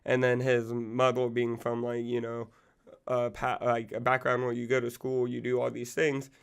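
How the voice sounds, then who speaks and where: A man's voice: medium pitch, completely flat delivery, moderate pace, gravelly, clean tone. A young man in his twenties who is recovering from an illness and losing his voice, rambling casually about music with lots of fillers and swearing. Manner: rambling, loose, full of fillers and profanity. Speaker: music channel host.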